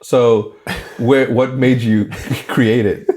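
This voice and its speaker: A man's voice: mid-range voice, steady tone, extremely slow, a little mellow. A man from Mexico hosting a casual interview, prodding his guest with questions and teasing him.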